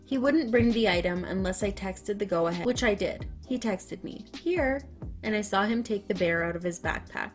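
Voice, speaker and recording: A woman reading online stories aloud, then reacting to them with loud, animated outbursts. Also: medium pitch, moderate pace, soft background music. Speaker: story narrator.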